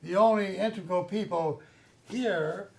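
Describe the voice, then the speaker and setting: A man's voice: high-pitched, vocal fry, slight background noise, poor audio. A man in a satirical staged reading playing a condescending, coldly cynical middle manager. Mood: condescending, menacing. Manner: condescending, deliberate.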